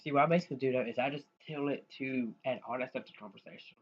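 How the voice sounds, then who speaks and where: A man's voice: medium pitch, fast talker. A young man from the United States chatting casually to viewers while sharing his screen, rambling and restarting often. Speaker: YouTuber. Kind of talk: casual screen-share vlog talk. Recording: dull lo-fi mic with faint noise.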